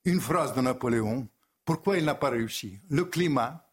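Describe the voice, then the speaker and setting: An older man with a Russian accent, a former diplomat and writer talking as a guest in an animated, emphatic TV debate. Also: mid-range voice, moderate pace, slightly rough.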